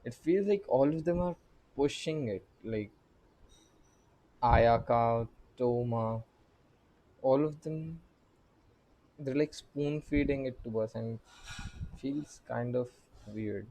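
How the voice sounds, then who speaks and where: A man's voice: medium pitch, slow pace, long pauses, warm tone, rough recording. A man giving casual game commentary as a player, hesitant and searching for his words. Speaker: gaming streamer reacting to a playthrough.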